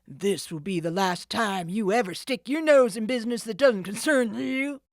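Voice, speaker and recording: A woman's voice: mid-range voice, animated, measured pace, dry recording, studio quality. A woman voicing a snarling, sneering male thief who leads a gang of crooks, threatening and hard. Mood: menacing.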